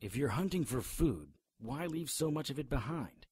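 A sardonic, hard-boiled man leads a radio drama played by a male actor. His delivery is very animated in pitch, though his temper stays grim and wry. He sounds like someone who sizes up every scene. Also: moderate pace, slightly rough. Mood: brooding.

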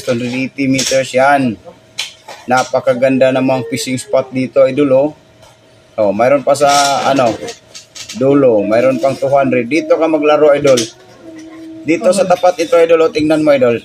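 A young man from the Philippines chats easily through a phone mic in a small indoor room. He walks the listener through the chart with friendly, repeated calls of "idol", pausing and restarting as he points things out. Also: mid-range voice, darkish tone, slight background noise. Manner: chatty, keeps addressing the viewer as "idol". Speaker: fishing channel host. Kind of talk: casual screen-recorded chart walkthrough.

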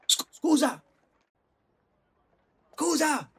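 A man playing an awkward, earnest young neighbour in love, halting in his speech, in an audio drama. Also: extremely high pitch, lively intonation, creaky, full character voice. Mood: flustered. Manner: stammering, halting.

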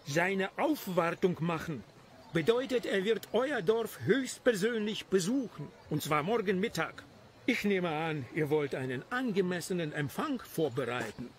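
A man's voice: high-pitched, lively intonation, a little slow, a little hiss or hum. A man acting a haughty count's servant in an audio drama, with pompous, stilted courtesy. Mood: condescending.

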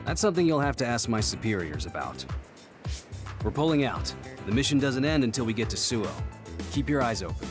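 A man's voice: medium pitch, very animated, brisk, over music, close to an everyday voice. A man playing a no-nonsense squad captain in an anime game, curt, authoritative and dryly weary. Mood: businesslike. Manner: curt, authoritative, matter-of-fact.

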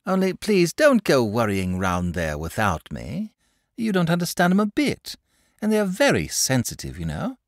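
A man voicing a calm, bookish, self-assured young boy who speaks matter-of-factly. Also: mid-range voice, moderate pace, dry recording, studio quality, pitched above the performer's own voice. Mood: reassuring.

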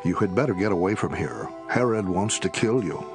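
A man's voice: deep voice, expressive, brisk, over music. An actor playing an urgent Pharisee, a male religious leader giving a warning in a Bible audio drama.

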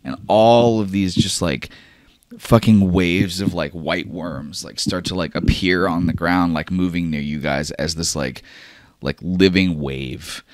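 A man's voice: low voice, a little slow, no echo. A man improvising horror narration as a tabletop game master, casual, emphatic and swearing freely. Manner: casual, profanity-laden, emphatic.

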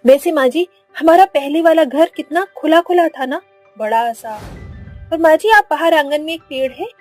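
A woman voicing a respectful, caring young daughter-in-law in a cartoon, politely and gently. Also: sits high, moderate pace, faint music behind, lightly acted. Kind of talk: Hindi cartoon voice acting.

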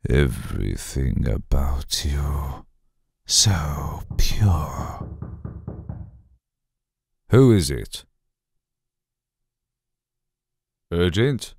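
A man voicing an aloof, menacing vampire lord in an ASMR roleplay, speaking formally and deliberately in a brooding, husky, gravelly voice. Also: rumbling low, a little mellow, no room sound, clean studio sound, exaggerated voice. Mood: seductive, intimate, then curt, commanding.

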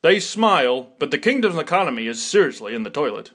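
A man's voice: on the high side, sing-song, quick pace, fairly bright tone, breathy edge, heavily acted. A man acting as a theatrical, wry storybook narrator for a cartoon game quest. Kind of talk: dramatic scripted cartoon narration.

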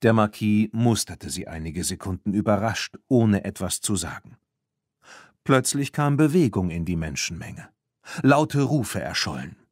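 A man reading a storybook aloud as an audiobook narrator, in a measured, clearly articulated way. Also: on the low side, animated, no echo, clean studio sound.

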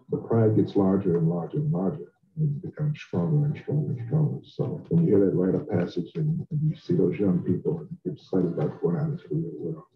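A man's voice: low-pitched, roomy sound, lo-fi sound. An older man in a documentary interview, reflecting proudly on his family's ties to the university. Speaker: alumnus.

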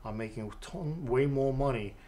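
Halting Russian-accented male interviewer. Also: medium pitch, warm tone. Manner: halting, many "uh" fillers and restarts. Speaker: interview host. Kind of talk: casual interview questions. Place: studio with city backdrop, seated on sofa.

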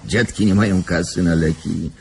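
Sardonic streetwise detective, very deep voice, colloquial, unhurried manner, radio play, faint noise. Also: male voice, vocal fry. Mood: sardonic, musing. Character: wry, streetwise, unhurried male police detective. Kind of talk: radio drama acting.

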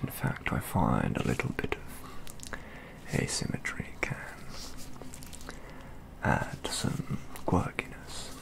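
A young man playing a pompous, doting model collector in soft-spoken ASMR roleplay, half-whispered and gravelly, with slow, formal, deliberate phrasing. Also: very deep voice, very animated, full character voice, poor audio. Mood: absorbed, approving. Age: young adult. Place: small indoor studio, close up.

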